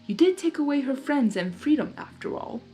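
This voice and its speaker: Woman as storybook conscience: little male angel, prim, admonishing, high-pitched. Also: expressive, fairly quick, warm tone, faint music behind. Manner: prim, advising.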